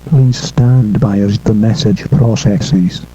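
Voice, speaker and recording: A synthetic male voice with a husky tone, making a formal scripted announcement as a station announcer. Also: fairly low pitch, fairly even intonation, takes it slowly, background noise. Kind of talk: scripted broadcast interruption announcement. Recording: muffled lo-fi broadcast.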